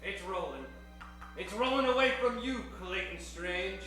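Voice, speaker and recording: A man plays an indignant cowboy sheriff in radio western style. The voice is high for a man and swoops with lively, stubborn protest. Heavy echo surrounds it and music plays behind. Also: a little slow, far from the mic, heavily acted. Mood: dry defiance. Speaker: improv comedy player. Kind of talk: improvised old-time radio western acting. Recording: very echoey stage, music behind.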